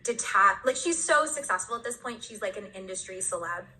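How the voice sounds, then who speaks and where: A young woman podcast guest, raised in Washington State and Connecticut, talking in a relaxed, thoughtful way with lots of fillers. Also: medium pitch, fairly quick, poor audio. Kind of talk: casual chat.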